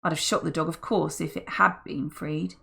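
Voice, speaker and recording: A woman reading a menacing armed male criminal in an audiobook, loud and threatening. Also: low-pitched, fairly even intonation, moderate pace, gravelly, exaggerated voice. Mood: gruff.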